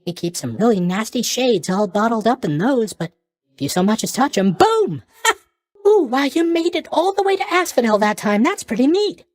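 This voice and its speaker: A man's voice: very high-pitched, very animated, normal speed, no room sound. A man voicing a sleepy, scatterbrained young god of sleep in a video game, airy, hesitant and guilelessly blunt. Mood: chatty, naive. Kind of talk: animated video game character voice lines.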